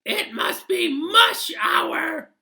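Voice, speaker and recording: A goofy male passenger who mangles his words, squeaky and bright, stretching each word and hollering it as a storyteller puts him on. The voice sits far above a normal speaking voice. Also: big pitch swings, creaky, full character voice. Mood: goofy, insistent. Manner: squeaky, drawn-out, shouting.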